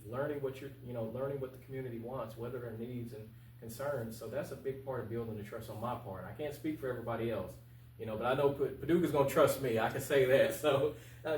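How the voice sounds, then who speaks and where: A man's voice: mid-range voice, a little mellow. A man in his twenties talking casually and earnestly as a candidate being interviewed, colloquial and emphatic, repeating points for stress. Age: 20s. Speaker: interview guest, candidate for local office. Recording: roomy, distant mic with faint hum.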